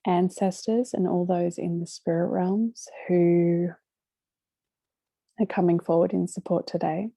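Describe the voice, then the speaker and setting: A woman leading a guided meditation, speaking gently and unhurriedly with long pauses between short phrases. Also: fairly low pitch, fairly even intonation, vocal fry, no room sound. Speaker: meditation guide hosting a live session.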